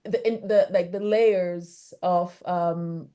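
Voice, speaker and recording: A woman's voice: lowish voice, steady tone, slow, warm tone. A woman academic with a Jamaican accent, in an academic discussion, hesitantly searching for words and repeating phrases. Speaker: academic discussant asking questions.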